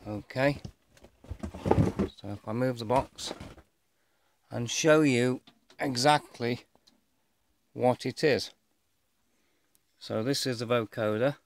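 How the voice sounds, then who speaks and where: A middle-aged man giving a casual unboxing commentary, rambling and thinking aloud with halting phrases as he films by hand indoors. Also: medium pitch. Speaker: vlogger showing his own gear. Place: indoors, bedroom, filming over a bed. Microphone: handheld camera or phone held by the speaker.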